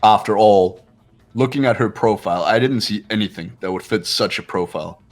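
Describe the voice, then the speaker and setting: A man playing a proud, analytical high school student in a fan-dubbed video game, speaking in a haughty, deliberate way. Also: natural voice. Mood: cool, analytical. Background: game music.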